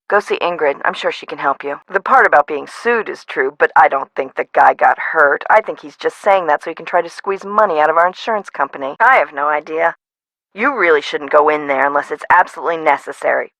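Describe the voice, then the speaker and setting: A woman's voice: low-pitched, a bit bright, no echo, poor audio. A woman playing a blunt, businesslike amusement park boss in a video game, with a matter-of-fact manner.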